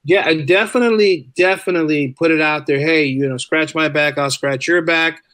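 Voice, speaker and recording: A man's voice: fairly high pitch, normal speed, a bit bright, no echo, studio quality. A man chatting casually as a podcast guest, talkative and informal, often repeating phrases for emphasis. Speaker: podcast guest, writer and musician. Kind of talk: casual conversational interview.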